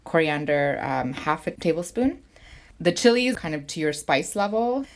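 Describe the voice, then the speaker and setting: Woman, middle-aged, low pitch, casual interview guest, informal explanatory talk. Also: lively intonation, normal speed, a little hiss or hum. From Canada, born in Sri Lanka. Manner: informal, explanatory, frequent 'like' hedging. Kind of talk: casual chat and cooking walkthrough.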